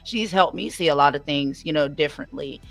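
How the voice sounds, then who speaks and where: A woman's voice: sits low, fairly quick, creaky, fairly bright tone. A woman from the United States talking in a reflective, casual way on a live stream, using many fillers. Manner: reflective, filler-heavy, with many "you know"s. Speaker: debate guest.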